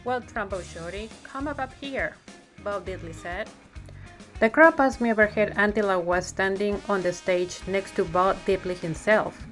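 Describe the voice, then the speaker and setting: A woman's voice: medium pitch, normal speed, fairly bright tone, soft background music. A woman narrating a children's picture book aloud. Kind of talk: storybook read-aloud narration.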